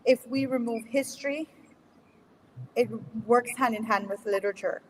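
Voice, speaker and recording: A woman educator argues her point on a panel, emphatic and passionate, hammering ideas home through repetition. Her voice sits somewhat high and rings out in a space with long reverb. A faint hiss lies underneath. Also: moderate pace. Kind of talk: panel discussion.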